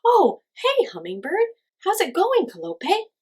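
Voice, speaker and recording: A woman voicing an excitable, dramatic male coyote in a children's story, exclaiming and muttering. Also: very high voice, a little slow, voice pushed up, heavily acted. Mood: surprised, eager.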